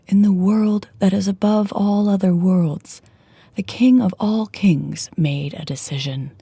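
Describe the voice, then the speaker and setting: A woman narrator reading a scripted fairy tale in a measured storybook delivery, with phrase endings falling to a hush. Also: lowish voice, gravelly, husky, no room sound. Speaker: audiobook narrator. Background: music.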